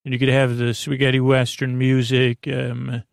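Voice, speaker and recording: Man, podcast host, mid-pitched creaky voice, goofy rambling monologue, halting and repetitive delivery, dry sound. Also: monotone, dry recording. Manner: meandering, halting, repeats and trails off mid-word; goofy, silly, self-deprecating. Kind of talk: rambling, improvised bedtime monologue.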